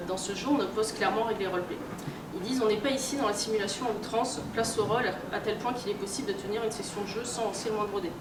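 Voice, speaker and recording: A woman lectures informally at a convention, heard from well away from the microphone in an echoey room. She explains things in everyday language, often hesitating and repeating words as she goes. Loud noise runs behind her voice. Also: medium pitch. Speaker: guest speaker, researcher and game publisher. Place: room at a convention, recorded from afar. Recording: distant roomy mic, loud background noise. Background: loud ambient noise.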